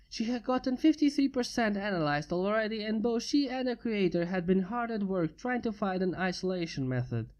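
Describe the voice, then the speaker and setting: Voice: medium pitch, darkish tone. A reader steadily narrating a fanfiction story. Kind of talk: fanfiction reading.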